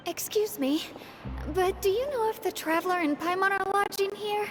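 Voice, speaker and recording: A young female official messenger with a high voice. She is polite and formal in a video game scene, with clear music behind her. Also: moderate pace. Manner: courteous, formal.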